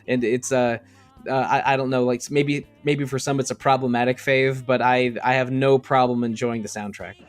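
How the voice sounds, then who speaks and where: A man chatting on a podcast panel about game music, enthusiastic and halting, often restarting and repeating words. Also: mid-range voice, little pitch movement.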